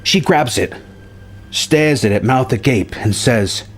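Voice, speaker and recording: A man telling a film story as a narrator, with a dramatic delivery and pauses for suspense. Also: mid-range voice, a little hiss or hum. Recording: music bed under the voice. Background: music.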